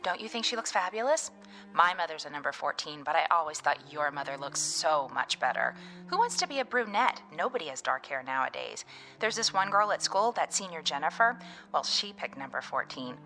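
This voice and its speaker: An actress playing an excitable, looks-obsessed teenage girl with breathless, gushy chatter in a radio drama. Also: sits low, brisk, soft background music, full character voice. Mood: gushing, gossipy.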